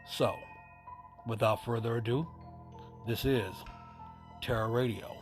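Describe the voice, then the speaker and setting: A man hosting a show, reading a scripted introduction in measured, deliberate phrases. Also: medium pitch. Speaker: podcast host. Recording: music behind the voice. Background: music.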